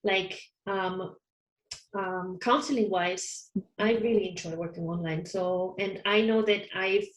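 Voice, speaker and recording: A woman whose first language is German talks through a casual interview in a soft, hesitant way, often breaking into small laughs. Her voice sits at a middle pitch. It carries a noticeable room echo over what sounds like a video call. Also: fairly even intonation, a little slow. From Austria. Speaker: online counsellor, guest. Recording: roomy echo.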